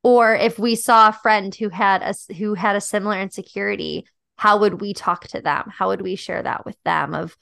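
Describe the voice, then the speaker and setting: Podcast co-host, young woman, high-pitched voice, reflective casual delivery, dry close sound. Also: fairly even intonation, moderate pace, gravelly, a bit bright, no echo. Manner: thoughtful, reflective, many "like" and "um" fillers. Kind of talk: casual chat about a dating show.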